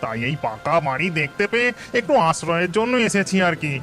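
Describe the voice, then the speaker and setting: A man's voice: a little high, sing-song, fairly quick, fairly bright tone, soft background music. A man voicing a cartoon ghost who poses as a pleading poor traveller. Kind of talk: cartoon voice acting.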